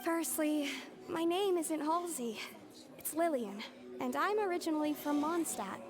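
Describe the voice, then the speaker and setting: A woman's voice: high-pitched, expressive, a little slow, noisy background. A woman voicing a sheepish young female culprit in a video game, hesitant and apologetic. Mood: resigned, apologetic. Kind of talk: dramatic video game voice acting.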